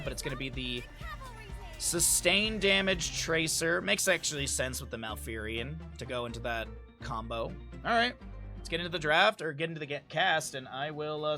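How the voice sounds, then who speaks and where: A hoarse man casting a live-streamed esports match, chatty and thinking aloud, often correcting himself. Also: a little high, sharp tone.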